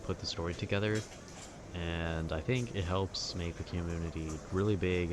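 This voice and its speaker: A young man with a very low voice rambles casually in a calm, wry way. Clearly audible urban ambience sits behind his informal monologue. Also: noisy background. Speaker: video creator. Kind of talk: casual rambling monologue about video games.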